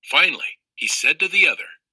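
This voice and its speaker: A man reading a children's novel aloud in an expressive storytelling style. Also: a little high, a little fast, breathy edge, no room sound. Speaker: audiobook reader of a children's novel.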